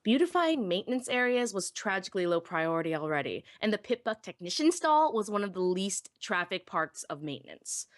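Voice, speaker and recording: A woman playing a shy, self-deprecating young pony technician who narrates her own story in an expressive, wistful, wry way. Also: mid-range voice, brisk, hardly any pauses, dry recording, studio quality, natural voice. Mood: weary, wistful. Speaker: first-person narrator. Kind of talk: dramatic live audiobook reading.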